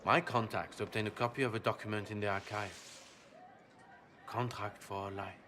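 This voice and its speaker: A man playing a suave, courteous nobleman with a secret sinister side in a video game, speaking in a ceremonious, coolly measured way. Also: lowish voice, fairly even intonation, pushed and bright, a little hiss or hum. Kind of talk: dramatic video game cutscene acting.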